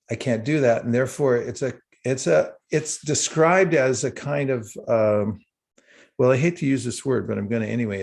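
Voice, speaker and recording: A man giving a livestreamed spiritual talk with slides, unhurried and halting, with small chuckles. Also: mid-range voice, gravelly, darkish tone. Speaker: spiritual teacher.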